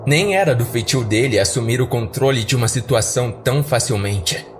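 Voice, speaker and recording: A man's voice: mid-range voice, fairly quick, a little hiss or hum. A Brazilian man giving dramatic first-person narration of a horror story as a podcast narrator. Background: music.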